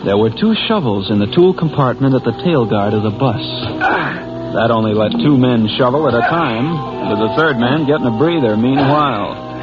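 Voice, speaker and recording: A man acting as a plainspoken American bus driver tells a suspense story in vintage radio-drama style. His voice sits at an ordinary male pitch, on a dull old broadcast recording with loud music behind it. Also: lively intonation, normal speed, lightly acted. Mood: tense, brooding.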